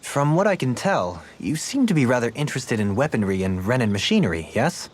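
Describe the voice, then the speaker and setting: A man's voice: mid-range voice, sing-song, fairly quick. A man playing a cultured, gracious nobleman who speaks formally and eloquently, in video game dialogue. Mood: encouraging, thoughtful. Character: cultured, courtly nobleman, gracious, reflective, music-loving. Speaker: video game party member. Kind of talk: English-language video game character dialogue.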